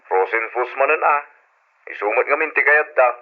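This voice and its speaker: Young father male actor, radio drama: affectionate, respectful, medium-pitched voice, dull recording. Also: normal speed, lightly acted. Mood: affectionate, easygoing.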